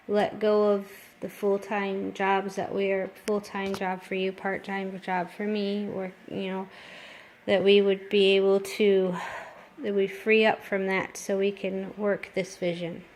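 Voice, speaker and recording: Middle-aged American woman, even-pitched, some noise. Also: rough recording. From Detroit, United States. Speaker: host, interviewer. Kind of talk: informal interview and appeal.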